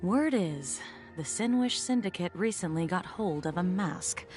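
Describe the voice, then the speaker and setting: An actress playing a cool, composed security officer in a video game, speaking with dry, faintly wry, businesslike authority. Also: female voice, lowish voice, animated, measured pace, a little mellow, soft background music.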